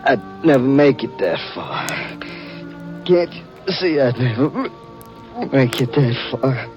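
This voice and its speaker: A man playing a wounded, boastful outlaw in a 1950s radio western, breathy and halting, with a rural American drawl. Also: mid-range voice, lively intonation, darkish tone. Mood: desperate, pleading. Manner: halting, laboured, folksy drawl. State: weak, gravely wounded, acted. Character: dying, boastful male outlaw, stubborn and desperate. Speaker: supporting actor in a radio western. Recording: dull vintage radio recording, music behind.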